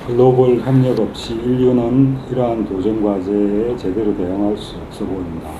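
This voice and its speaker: A man taking part in a reading group, reading a book passage aloud, recorded in a noisy, echoing room. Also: mid-range voice. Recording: roomy, noisy room pickup.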